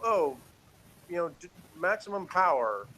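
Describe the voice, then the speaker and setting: A man's voice: high voice, big pitch swings, measured pace, a bit bright. A man giving a casual, opinionated review as a podcast co-host, rambling and emphatic in an exasperated way.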